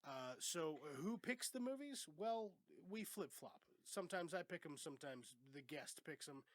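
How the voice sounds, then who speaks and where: A man's voice: a little high, animated, moderate pace, no room sound. A man hosting a casual movie podcast in a home studio, congested and sick with a cough, chatty and laid-back with casual swearing. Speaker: podcast host. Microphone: studio microphone.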